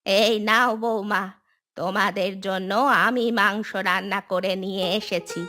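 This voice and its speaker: A woman's voice: medium pitch, fairly even intonation, measured pace, pushed and bright, soft background music. A woman playing an elderly village mother-in-law in a cartoon story, plain-spoken, folksy and instructive, troubled but shrewd. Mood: firm, instructive. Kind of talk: scripted cartoon story acting.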